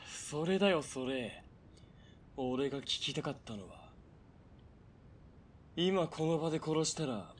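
An actor playing a sadistic, menacing military police villain in a radio drama, sneering and mocking, from quiet threats to barked orders. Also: male voice, a little high, slight background noise. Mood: gloating threat. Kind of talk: Japanese radio drama acting.

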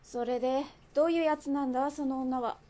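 A woman's voice: sits high, steady tone, brisk, mellow, natural voice. A woman acting in a radio drama as a brash underworld gang boss, with blunt, mannish, teasing speech. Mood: brash, curious.

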